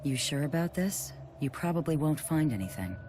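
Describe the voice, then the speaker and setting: A woman's voice: sits low, expressive, moderate pace, noisy background. An actress voicing a proud, aloof and melancholy ancient female spirit of ice in a fantasy game, reserved and formal. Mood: cool, guarded. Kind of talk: video game cutscene voice acting. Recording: game music behind the voice.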